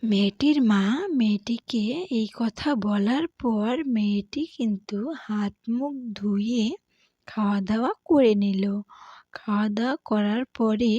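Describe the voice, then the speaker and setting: Female storyteller for cartoon narration, mellow tone, informal spoken delivery, dry sound. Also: medium pitch, measured pace, dry recording, dull audio.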